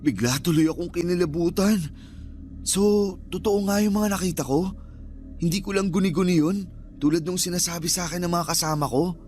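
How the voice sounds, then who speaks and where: Casting: male actor as an easily spooked Filipino guest, higher voice, uneasy radio drama delivery, faint music bed. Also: fairly quick, a little mellow, lightly acted. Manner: uneasy, questioning.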